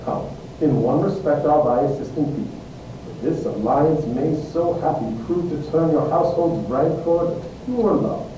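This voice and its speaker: A man playing a kindly older friar in an amateur Shakespeare reading, moralising in a measured, declamatory way. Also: warm tone, close to an everyday voice. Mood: surprised, fatherly. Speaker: amateur reader, English teacher. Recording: very echoey, distant, noisy with low rumble.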